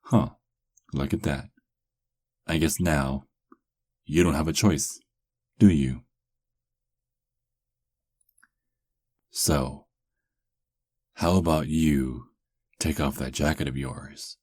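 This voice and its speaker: Man acting a very deep, sarcastic werewolf. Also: a little mellow, no echo, full character voice. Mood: teasing, flirtatious. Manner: teasing, sarcastic, slow drawl with lingering pauses. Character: sarcastic flirtatious captive male werewolf patient. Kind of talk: ASMR roleplay acting.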